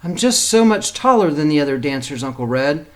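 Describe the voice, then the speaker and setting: A man's voice: on the high side, lively intonation, a little fast, natural voice. A man voicing a sassy, sensitive young girl dancer in a storybook, with plain-spoken retorts. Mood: dejected.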